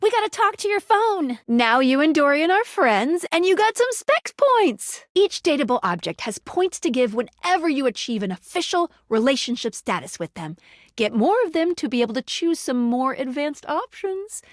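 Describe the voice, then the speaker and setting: A woman voicing a chipper, helpful young female magical guide in a video game, with a perky, playful and expressive manner. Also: sits high, normal speed, dry recording, studio quality. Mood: excited, encouraging. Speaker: voice actor playing a guide character in a dating simulator game. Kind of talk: animated video game character dialogue.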